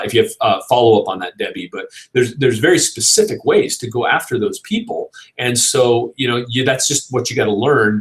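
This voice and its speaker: A man with a slightly raspy, tired voice, answering audience questions in a casual live Q&A about online business. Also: on the low side, rough recording. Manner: casual, energetic business coaching with frequent "you know" and "right?". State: slightly hoarse from long filming.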